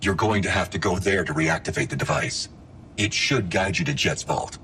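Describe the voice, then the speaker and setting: A man playing a stern, distrustful droid with formal, deadpan delivery in a video game. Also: sits low, moderate pace, slight background noise. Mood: matter-of-fact. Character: stern, distrustful battle droid, male, coolly precise.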